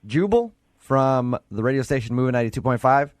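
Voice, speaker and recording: Guy hosting morning radio, talks really fast, jokey banter, dry close studio sound. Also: medium pitch, animated, dry recording. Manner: playful, joking, self-deprecating. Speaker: morning radio show host. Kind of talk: joking radio banter and phone interview.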